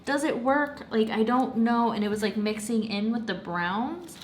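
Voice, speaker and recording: A woman's voice: fairly high pitch, lively intonation, normal speed, slight background noise. A young woman chatting casually about a crafting project at home, slightly congested and rambling with lots of fillers. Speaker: craft vlogger. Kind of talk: casual chat.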